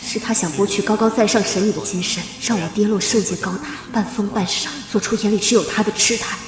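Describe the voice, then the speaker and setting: A woman's voice: medium pitch, steady tone, fast, close to an everyday voice. Casting: young noblewoman played by a woman, solemn and resolute period-drama narrator, echoey with music behind. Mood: bitter. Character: reborn young noblewoman, composed and resolved on revenge. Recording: echoey long reverb over loud music.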